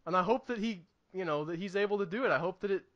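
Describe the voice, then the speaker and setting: High, bright-voiced man talking movies. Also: fast, dull audio. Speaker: podcast co-host reviewing films. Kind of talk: casual film discussion.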